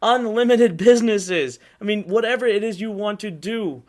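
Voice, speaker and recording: A man hosting an online workshop, gushing and excitable as he talks over a video call. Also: sits high, normal speed. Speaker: webinar host. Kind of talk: enthusiastic webinar hosting.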